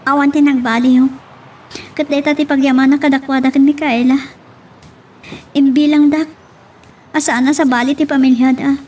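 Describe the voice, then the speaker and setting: Actress as high-voiced, distressed village woman. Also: flat delivery, moderate pace, pauses often, darkish tone, lightly acted. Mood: pleading. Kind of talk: Ilocano radio-style romance drama acting.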